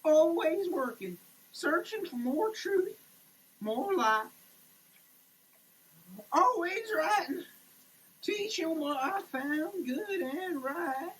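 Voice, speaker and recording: A woman giving a dramatic reading in a theatrical, halting, declamatory manner. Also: very high voice, animated, slight background noise, low-quality recording.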